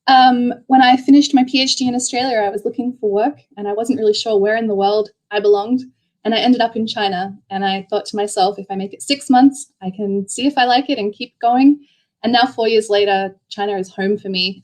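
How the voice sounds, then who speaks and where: A woman's voice: mid-range voice, darkish tone. A woman who is an associate professor and community co-manager, introducing herself fluently on an online panel. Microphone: video call.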